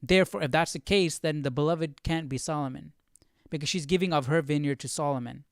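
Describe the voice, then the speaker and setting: A man's voice: a little high, brisk, no echo. Questioning man with a dry, close sound. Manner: questioning, puzzling things through aloud. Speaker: host of a live Bible study stream. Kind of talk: casual study commentary, thinking aloud.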